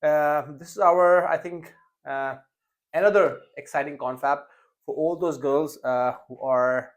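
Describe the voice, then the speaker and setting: A man's voice: on the high side, a little slow. Hesitant chatty male podcast host. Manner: hesitant, many fillers and restarts. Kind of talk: casual interview chat.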